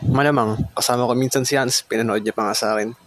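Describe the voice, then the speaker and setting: A man's voice: medium pitch, steady tone, fast, natural voice. A young man playing a gossiping student in an amateur radio drama. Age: young adult. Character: gossiping male student spreading a rumor. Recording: faint background noise.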